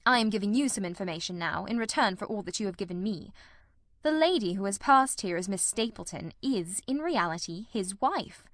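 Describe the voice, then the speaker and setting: Casting: woman reading as a calm, incisive gentleman detective for an audiobook drama; measured, explanatory delivery, very dry sound. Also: medium pitch, no room sound. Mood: calm, analytical.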